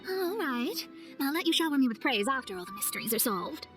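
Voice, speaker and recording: A young female astrologer from a fantasy game. Her voice is high, her delivery proud and dramatic, and she flusters easily. Music plays clearly behind her. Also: very animated, fairly quick, fairly bright tone. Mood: smug. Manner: self-assured, dramatic, proud. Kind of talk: video game cutscene acting.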